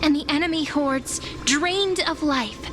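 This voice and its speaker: An actress voicing a gentle, playful magical companion in a game, with a measured storyteller's delivery. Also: female voice, high voice. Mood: solemn storytelling. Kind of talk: scripted game narration and dialogue. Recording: music behind the voice.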